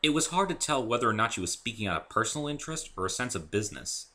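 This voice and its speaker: A man giving an expressive first-person dramatic reading of scripted fiction as its narrator. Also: medium pitch, very fast. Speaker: author reading own story.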